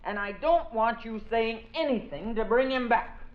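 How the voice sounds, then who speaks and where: A curt carnival boss. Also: male voice, very high voice, normal speed. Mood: commanding. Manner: curt, commanding. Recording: dull old radio recording.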